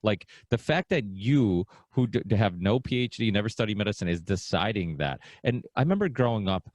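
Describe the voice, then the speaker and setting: A man from Portugal with a very low voice hosts a podcast in a loose, rambling way, leaning on fillers. The sound is dry and close, with no room to it. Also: expressive, brisk, a little mellow, no echo. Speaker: podcast host. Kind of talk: casual interview talk.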